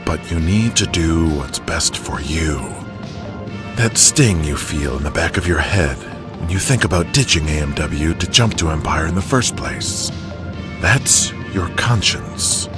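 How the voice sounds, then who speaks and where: A man playing a shrewd, commanding wrestling promoter who talks deliberately and persuasively in an audio drama. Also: a bass voice, animated, music in the background. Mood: coaxing, manipulative.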